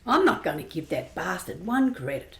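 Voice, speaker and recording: A woman's voice: lowish voice, sing-song, normal speed. A woman playing a feisty, blunt businesswoman in an amateur radio play. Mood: brash.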